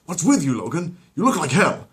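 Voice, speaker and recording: Guy doing a war movie style bug exterminator, urgent, loud and pitched up. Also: very animated, a little fast. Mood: urgent, grim. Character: gung-ho, melodramatic male exterminators in battle.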